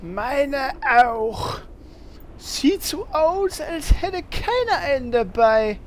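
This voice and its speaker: A naive, girlish adventurer, played by an actress in a comedic fantasy audio drama. Her voice is very high and drawn out very slowly, dreamy in tone, with faint noise behind it. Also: sing-song, exaggerated voice. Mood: puzzled. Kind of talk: comedic fantasy radio-play acting.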